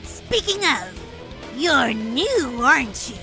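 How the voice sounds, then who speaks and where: A woman's voice: sits high, big pitch swings, slow, music in the background, heavily acted. A woman voicing a sly, taunting fairy villainess in a comic dub, drawling with mock politeness and sweet menace. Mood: mocking, playful.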